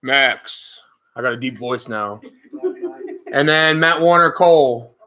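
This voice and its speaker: A man's voice: mid-range voice, takes it slowly, pushed and bright. A man hosting a card break with friends around a table, casually calling out names and joking. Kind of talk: casual group chat.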